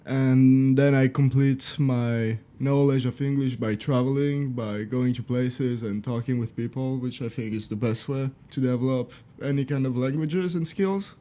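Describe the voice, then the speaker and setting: Man, Swiss French accent, French native speaker, warm mellow tone, podcast guest, casual, searching for words. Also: mid-range voice, measured pace, hardly any pauses. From Switzerland. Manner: searches for words, restarts phrases.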